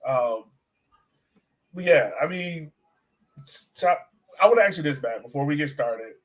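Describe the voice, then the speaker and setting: A man co-hosting a soccer fan podcast, talking in a casual, excitable way. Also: a little high, measured pace. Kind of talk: casual sports podcast chat.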